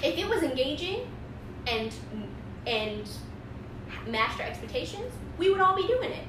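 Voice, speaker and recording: A young woman in casual student podcast chat, blunt and animated as she complains. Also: very high voice, unhurried. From Wisconsin. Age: young adult. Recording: echoey room, mic at a distance, background noise.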